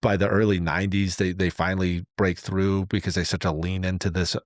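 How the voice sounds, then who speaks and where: Man, low voice, dry close sound, podcast host, casual, rambling, earnest, halting. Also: little pitch movement, dry recording.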